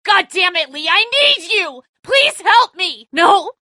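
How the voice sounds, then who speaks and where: An actress playing a bitter, distraught, hot-tempered grown daughter in a dramatic video game, raw and confrontational. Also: female voice, very high voice, big pitch swings, slow pace, pushed and bright, no room sound, clean studio sound, full character voice. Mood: panicked. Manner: raw, emotional, confrontational, sweary.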